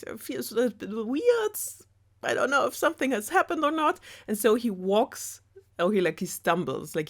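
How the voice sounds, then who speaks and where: A woman casually retelling a story in a sarcastic, rambling, giggly way as a storytelling host. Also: fairly low pitch. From Germany. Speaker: storyteller host of a web series.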